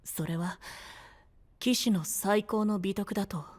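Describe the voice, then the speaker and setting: A man voicing a frail, gentle young male knight who speaks softly and half in whispers, over background music. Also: sits high, takes it slowly. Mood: solemn. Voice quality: soft, breathy, often whispered. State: weak and tired. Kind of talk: anime voice drama acting.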